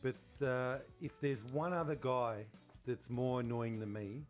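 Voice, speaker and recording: Man, podcast co-host, joking self-deprecating manner, mid pitch, faint music underneath. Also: a little slow. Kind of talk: casual interviewing chat.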